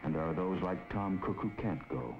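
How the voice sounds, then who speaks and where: A man giving grave, measured scripted narration for a 1950s civil defense documentary film. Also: deep voice, animated, gravelly. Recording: dull 1950s film soundtrack with music.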